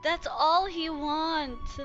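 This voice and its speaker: A woman's voice: sits high, extremely slow, fairly bright tone, highs cut off. A woman giving casual reaction commentary as she streams a game. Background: game music.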